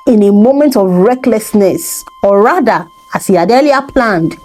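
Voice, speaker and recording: A woman's voice: medium pitch, lively intonation, normal speed, darkish tone, soft background music. A woman narrating a folktale as a storyteller, reading from a script.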